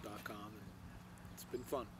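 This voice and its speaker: A man's voice: lowish voice, little pitch movement, moderate pace, noisy background. A middle-aged man from Hawaii with an American accent, presenting casually as a chef to camera. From Hawaii, United States. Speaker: chef and restaurant owner. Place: outdoors, open yard and covered grill deck. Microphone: lapel microphone.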